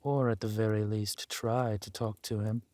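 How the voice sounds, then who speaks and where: A man playing a ruthless, vengeful warlord in an audio roleplay, speaking deliberately and with menace. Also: fairly low pitch, little pitch movement, darkish tone, lightly acted. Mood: intimate, brooding. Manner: slow, deliberate, menacing delivery. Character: ruthless villain warlord lord, vengeful, possessive lover. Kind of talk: dramatic villain roleplay acting.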